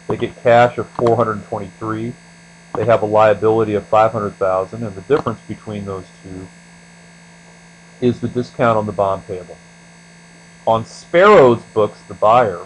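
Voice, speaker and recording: A man with a fairly low voice teaches in a deliberate, explanatory way, as in a screencast tutorial. His phrases tend to trail off at the ends. A faint electrical hum sits behind the voice. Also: low-quality recording. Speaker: accounting instructor. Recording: steady mains hum behind voice.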